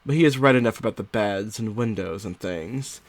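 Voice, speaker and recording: A man playing an anxious, confined young wife from the nineteenth century, reading her diary as a dramatic audiobook with fretful, drawn-out, theatrical delivery. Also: fairly low pitch, no room sound, lightly acted. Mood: resigned, nervous.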